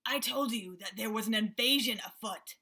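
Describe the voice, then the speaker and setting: An indignant male wild boar, voiced by a woman, speaks firmly and resolutely in a storybook. The tone is bright and fairly high. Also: normal speed, husky, full character voice. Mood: determined.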